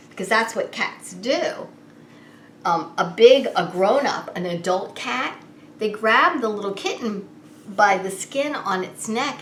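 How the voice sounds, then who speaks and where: A woman reading a picture book aloud to young children as a preschool story time host, expressive and unhurried, drawing the listeners in. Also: mid-range voice. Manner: animated, unhurried, asks the child listeners questions. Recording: roomy echo with faint background noise.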